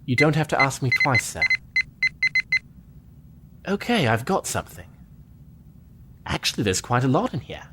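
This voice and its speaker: A man playing an eager, lively engineering lieutenant. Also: mid-range voice, moderate pace, long pauses, noisy background, natural voice. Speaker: engineering officer. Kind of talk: fan audio drama acting.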